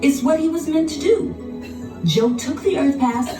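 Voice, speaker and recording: A woman narrating a children's storybook in an expressive, dramatic scripted style. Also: high-pitched, measured pace. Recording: roomy, distant sound with music behind.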